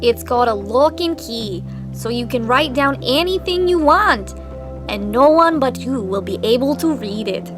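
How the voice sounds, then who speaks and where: High-voiced, placating mother. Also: expressive, a little slow. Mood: fond. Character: gentle, placating suburban mother. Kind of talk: radio drama acting. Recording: clear music under the voice.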